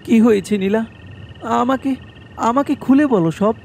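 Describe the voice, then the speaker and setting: Casting: male actor as anxious young lover, cartoon horror drama; high-pitched, crackly, emotional, pleading delivery. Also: unhurried, vocal fry, full character voice. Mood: alarmed concern. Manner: pleading, emotional, repeating phrases. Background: loud music.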